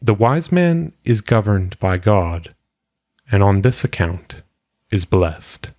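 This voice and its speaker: A man reading philosophical maxims aloud in a solemn audiobook style, with measured, deliberate phrasing. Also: on the low side, big pitch swings, a little mellow, no room sound, clean studio sound. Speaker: reader.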